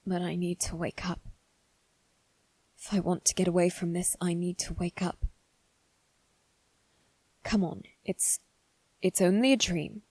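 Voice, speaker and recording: Woman as a slow, haughty young noble boy. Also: lowish voice, steady tone, darkish tone, slightly rough, no echo. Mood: panicked, insistent. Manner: halting, formal, self-reassuring delivery. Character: haughty young noble boy, anxious but stubbornly composed. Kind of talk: dramatic ASMR roleplay monologue.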